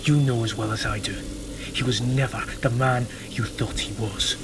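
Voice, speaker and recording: A man playing a sinister, taunting villain in an audio drama, insinuating and hypnotic, slipping into breathy whispers. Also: mid-range voice, fairly even intonation, moderate pace, background noise, lightly acted. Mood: sly, taunting. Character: sinister, taunting male villain known as the teacher.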